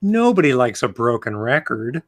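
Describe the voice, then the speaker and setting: A man on a comedy podcast reading aloud in a mock-serious, deadpan way with theatrical emphasis. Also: mid-range voice, moderate pace. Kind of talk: mock-serious reading aloud amid joking group chat. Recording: noticeable background noise with clicks.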